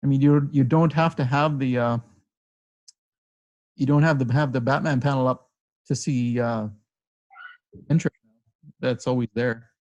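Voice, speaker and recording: A man from Alberta, Canada, chatting casually and joking on a video call about the software he builds. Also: mid-range voice, a little slow, pauses often, low-quality recording. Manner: relaxed, joking.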